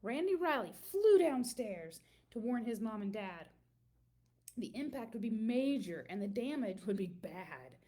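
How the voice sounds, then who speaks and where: A woman reading a children's picture book aloud in an expressive storytelling manner, with dramatic emphasis and drawn-out words. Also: a little high.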